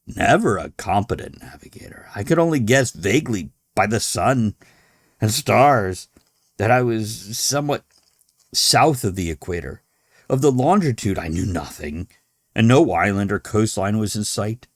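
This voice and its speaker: Man performing a despairing castaway sailor for a horror audiobook: grave, formal, very slow, with gravelly creak. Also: fairly low pitch, very animated, dry recording, clean studio sound, full character voice. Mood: weary recounting. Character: despairing morphine-addicted sailor writing his final horrified confession.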